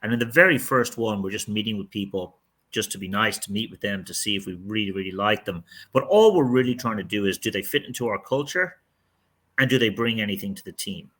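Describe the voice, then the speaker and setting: Low-voiced middle-aged guy, punchy bright tone, rambling candid podcast answers. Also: expressive, fairly quick. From outside the United States. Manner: candid, rambling, with frequent restarts. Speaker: real estate team leader, podcast guest. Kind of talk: casual interview answers.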